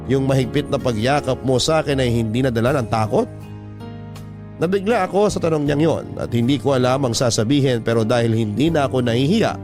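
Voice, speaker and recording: A man reading a scripted first-person story as the narrator of a radio drama show. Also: medium pitch. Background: background music.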